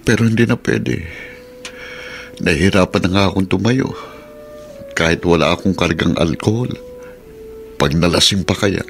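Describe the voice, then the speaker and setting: Gravelly, heartbroken Filipino father. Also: low voice, normal speed, pauses often. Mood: bitter sorrow. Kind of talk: Filipino radio drama acting. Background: background music.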